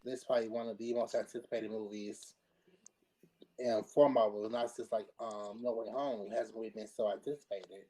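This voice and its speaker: A man giving his opinion in a casual panel discussion, in a measured, explanatory and somewhat halting way. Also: lowish voice, steady tone, mellow, low-quality recording. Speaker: panelist on a pop culture discussion show.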